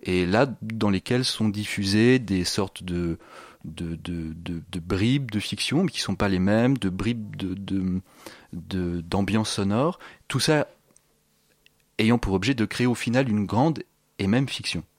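Male interview guest, low-pitched, hesitant explainer who repeats words while searching, recorded close and dry. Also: a little slow, no room sound. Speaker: interview guest, sound installation creator.

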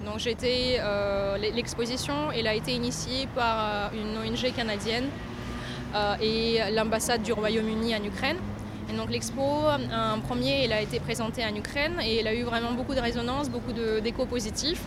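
A woman's voice: fairly high pitch, steady tone, normal speed, background noise. Hesitant female exhibition coordinator. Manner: hesitant, many restarts and fillers. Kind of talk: interview answers.

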